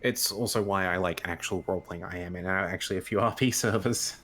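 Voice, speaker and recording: A man's voice: low voice, quick pace, fairly bright tone, a little hiss or hum. A man chatting as a guest on an online show, rambling and correcting himself as he tells stories. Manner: rambling, self-correcting storyteller. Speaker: interview guest. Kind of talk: casual chat.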